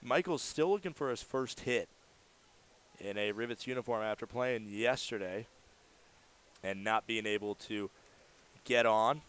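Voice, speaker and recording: A man doing live radio play-by-play commentary of a baseball game, in a measured delivery that lifts for the action. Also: fairly low pitch, fairly bright tone, clear, resonant tone.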